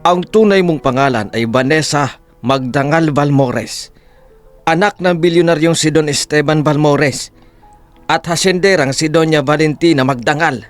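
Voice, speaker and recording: A man's voice: fairly high pitch, normal speed, faint music behind, close to an everyday voice. A man playing an earnest, formal emissary of a rich family in an audio drama, solemn and persuasive. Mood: grave, revealing. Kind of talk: Tagalog audio drama acting.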